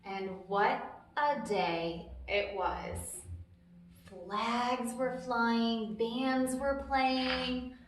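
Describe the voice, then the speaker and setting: A woman's voice: on the high side, fairly even intonation, extremely slow. A woman hosting a storytime, reading a children's book aloud expressively and explaining things simply for young listeners. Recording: very echoey, reverberant room.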